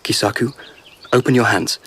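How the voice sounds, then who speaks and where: An actor playing a curious, easily delighted emperor in a radio drama, genial but commanding. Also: male voice, mid-range voice, steady tone, moderate pace, a bit bright, husky.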